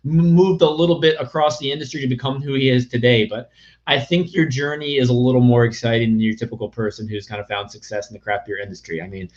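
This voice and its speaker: A man hosts a relaxed interview over a video call, eager and wordy, padding his questions with fillers. His voice sits at an average pitch, and the sound is slightly dull and lo-fi. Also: fast talker. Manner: enthusiastic, wordy, with filler phrases. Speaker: podcast host.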